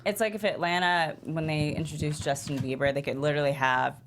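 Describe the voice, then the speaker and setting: A woman's voice: low-pitched, fairly even intonation, a little fast, a bit bright, a little hiss or hum. A woman talking casually as a panelist in a studio group discussion. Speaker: panelist giving script notes.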